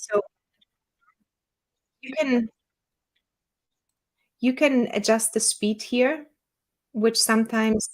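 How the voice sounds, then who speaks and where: A woman with a German accent, the owner of a small software company, walking viewers through a software tutorial in a relaxed, chatty way with fillers. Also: a little high, very slow, pauses often. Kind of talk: screen-share software tutorial.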